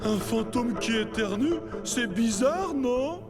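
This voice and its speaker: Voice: medium pitch, lively intonation, takes it slowly. Une voix de doublage de dessin animé qui joue un pirate peureux et superstitieux, inquiet, prompt à s'exclamer. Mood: puzzled. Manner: fretful, exclamatory. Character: timid, superstitious pirate crewmate, afraid of ghosts. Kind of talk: children's cartoon dubbing. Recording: loud music behind the voice.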